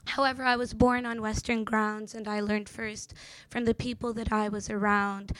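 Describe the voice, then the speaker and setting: A woman's voice: on the high side, flat delivery, normal speed, a bit bright, dry recording, poor audio. A woman in her twenties from Jordan, a native Arabic speaker with an American accent, performing spoken word poetry with rhythmic emphasis. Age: 20s. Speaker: poet reader.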